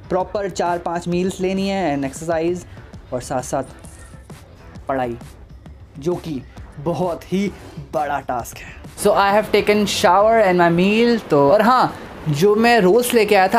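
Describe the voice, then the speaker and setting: A young man chatting casually to viewers in a vlog, upbeat and talking straight to them, filmed on a phone held at arm's length. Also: high voice. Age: young adult. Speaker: vlogger. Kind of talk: casual vlog chat to camera. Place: home room, indoors.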